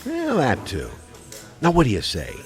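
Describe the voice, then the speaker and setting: Man acting a brash, very animated skeptical buddy. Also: mid-range voice, unhurried, soft background music. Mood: cajoling. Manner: joking, cajoling, colloquial. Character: brash, skeptical wisecracking buddy egging on his friend. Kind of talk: radio play acting.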